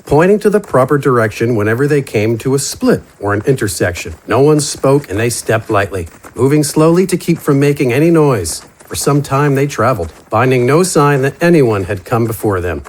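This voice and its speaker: A male narrator reads a scripted fantasy story. His pitch sits in the middle range for a man, and the delivery is dramatic storytelling. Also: very animated, normal speed, few pauses.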